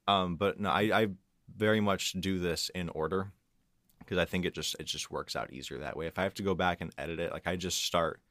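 A man's voice: low voice, brisk, fairly bright tone, dry recording, studio quality. A man speaking as an interview guest in casual chat, explaining things in a loose, informal way. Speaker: guest, audio engineer and composer.

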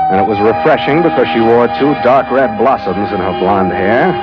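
Old radio sound, muffled, with music behind. An actor plays a cynical sea captain with a low voice, telling his tale in a wry, hard-boiled way. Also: close to an everyday voice. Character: cynical, world-weary sea captain narrating his adventures. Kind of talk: old-time radio drama narration and dialogue.

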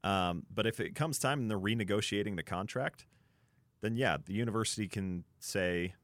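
A man's voice: lowish voice, moderate pace, a bit bright, dry recording. A man on a sports talk panel, speaking off the cuff and reasoning aloud in a conversational way. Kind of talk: unscripted sports discussion.

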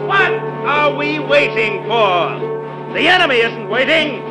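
Loud music swells behind a fiery military leader in an old radio drama. He orates in a very high voice, rallying volunteers for war. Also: very animated, a little slow, heavily acted. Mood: fiery, rousing.

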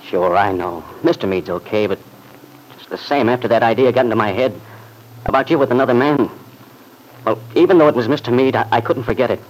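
An actor playing a jealous, hot-blooded young husband in an old-time radio drama, brooding and intense, talking in plain colloquial speech. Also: male voice, medium pitch, a little fast, natural voice. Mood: brooding jealousy. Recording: noisy vintage radio recording.

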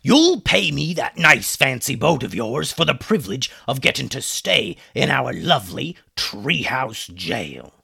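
A man voicing a gruff, mocking pirate captain in a children's story. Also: mid-range voice, sing-song, voice pushed up, exaggerated voice. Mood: gloating. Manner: loud, jeering, drawn-out mockery.

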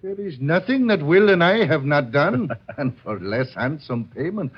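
An actor playing a jaunty, leering British army deserter and killer in an old radio drama, rough-voiced and full of colloquial swagger. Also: male voice, a little high, sing-song, moderate pace. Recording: dull lo-fi old radio recording.

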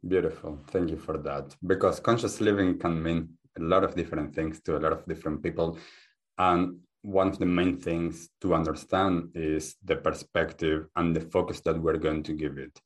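A man with a very low voice and a mixed accent rooted in Argentine Spanish talks as a podcast guest. He speaks softly and in a measured way, thinking out loud. His manner is calm and reflective. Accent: mixed, hard to place, Argentine-Spanish base. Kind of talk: calm interview talk.

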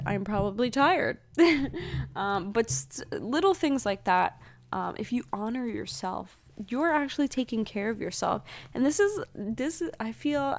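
A young woman rambling through a weekly oracle card reading in a casual, chatty way, full of ums and asides. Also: fairly high pitch. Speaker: spiritual podcaster.

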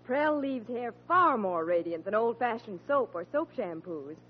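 A woman's voice: on the high side, lively intonation, unhurried, husky. A woman reading scripted advertising copy in the style of a 1940s radio commercial. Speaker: commercial pitchwoman. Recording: muffled old radio broadcast.

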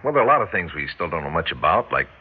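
An actor playing a hesitant, genial suburban husband in a radio drama. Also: male voice, sits low, very animated, rapid-fire. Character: Phil, hesitant, genial suburban husband curious about psychics. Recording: dull, faintly noisy radio recording.

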